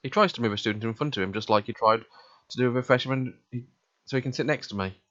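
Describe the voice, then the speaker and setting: A man's voice: medium pitch, fast, a bit bright, dry recording. A man reading first-person visual novel narration aloud as the narrator. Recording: dull, muffled home recording.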